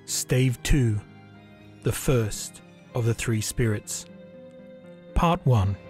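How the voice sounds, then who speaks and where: A man narrating a literary story as a scripted reading, measured and deliberate. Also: mid-range voice, expressive, darkish tone. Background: music.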